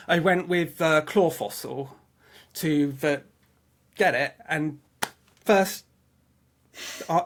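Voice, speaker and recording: A man's voice: fairly high pitch, a bit bright. A man telling personal anecdotes in a casual interview, chatty, often acting out his own remarks and restarting sentences. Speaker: interview guest, fan website webmaster.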